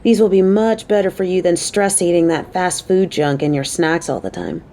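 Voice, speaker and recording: Woman acting as motherly female coworker, mellow soft-edged tone, playful teasing, chatty, audio roleplay, faint rumbly noise. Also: lively intonation, moderate pace, close to an everyday voice. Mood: caring, teasing. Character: caring, playful young woman coworker on a date.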